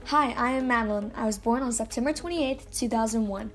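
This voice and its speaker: A woman's voice: on the high side, fairly quick. A teenage girl from Louisiana narrating the story of her life in a chatty way, often saying "like". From Louisiana, USA. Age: teens. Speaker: YouTuber. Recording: music playing underneath.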